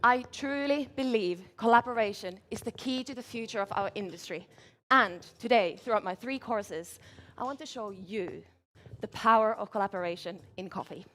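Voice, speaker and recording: A woman from Finland, giving a measured competition presentation as a barista at a live event. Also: fairly high pitch, fairly bright tone. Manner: measured, clear presenting while working.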